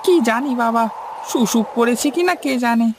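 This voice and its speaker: Creaky-voiced anxious young village fisherman , acted out. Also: mid-range voice, moderate pace, noisy background, close to an everyday voice. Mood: worried. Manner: rustic, deferential, questioning. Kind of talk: Bengali cartoon story dialogue.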